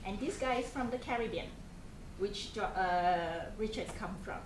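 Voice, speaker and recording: A woman's voice: mid-range voice, normal speed. A woman in her thirties with a Malaysian accent gives an informal talk to students, asking them questions and restarting her sentences often. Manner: conversational, asks the audience questions, frequent restarts and fillers. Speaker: programme coordinator giving a talk to students. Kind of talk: informal presentation to a student group. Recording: echoey room, faint background noise.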